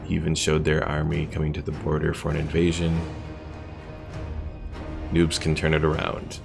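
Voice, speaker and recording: A man's voice: very deep voice, monotone, moderate pace, creaky, darkish tone. A man giving casual livestream commentary on a game and talking with his chat as host. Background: game music.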